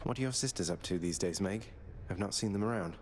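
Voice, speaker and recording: Man playing a wry, low-voiced young prince. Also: fast talker, heavy background noise, close to an everyday voice. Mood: warm. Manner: wry, dry, casual. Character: cheeky, rebellious young prince of the underworld.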